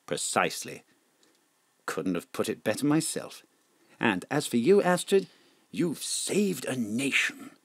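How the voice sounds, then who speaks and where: A man's voice: medium pitch, big pitch swings, measured pace, husky, no room sound, exaggerated voice. An actor playing a wry, down-to-earth male investigator in an audio drama, speaking matter-of-factly.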